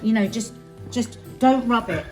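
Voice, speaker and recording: A woman's voice: fairly high pitch, measured pace, over music. A middle-aged British woman chatting casually to a vlog in a rambling, informal way, often interrupting herself. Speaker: family vlogger.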